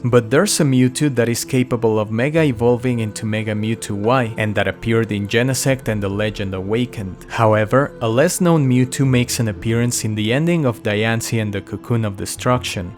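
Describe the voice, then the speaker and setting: A man's voice: medium pitch, darkish tone. A man giving a scripted explainer narration as the host of a video.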